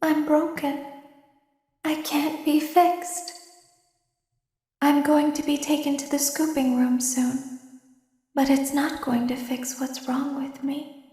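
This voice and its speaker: An eerie animatronic robot girl, voiced by a woman, high and sweet, speaking slowly and deliberately with a manipulative calm. She often sinks into hushed whispers, and her voice rings in a roomy, echoing space. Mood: calm, commanding. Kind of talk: fan voice acting of game lines. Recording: roomy echo.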